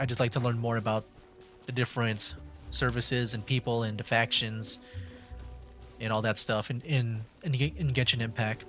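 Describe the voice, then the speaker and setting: Casual male streamer, medium pitch. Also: a bit bright. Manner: meandering, mild, thinking aloud. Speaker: streamer, VTuber. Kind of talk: casual gaming livestream commentary.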